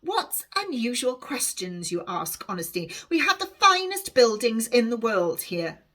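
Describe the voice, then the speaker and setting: A woman voicing a proud, guarded thirteen-year-old maid at a royal court in a storybook, speaking in a brisk, self-important way. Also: fairly high pitch, very animated, sharp tone, heavily acted. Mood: brisk, superior.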